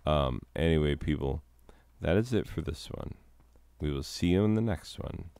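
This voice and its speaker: A middle-aged man with a very deep, smooth voice and a slight hoarseness from a cold talks slowly and rambles through a self-deprecating story. The sound is close and dry, with almost no room around it. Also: animated, a little mellow, no echo. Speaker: teacher and gaming video host. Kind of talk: rambling casual commentary over gameplay.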